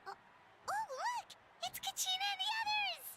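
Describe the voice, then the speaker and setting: An actress playing a tiny, childlike female fairy companion, squeaky, bubbly and excitable, in a video game. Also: measured pace, heavy background noise. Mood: thrilled. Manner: bubbly, speaks of herself in third person.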